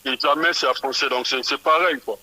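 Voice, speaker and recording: Bright-voiced man, halting speech. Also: mid-range voice, steady tone. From Kinshasa, DR Congo. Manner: stumbles and repeats small words while thinking. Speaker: musician guest. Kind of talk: radio interview.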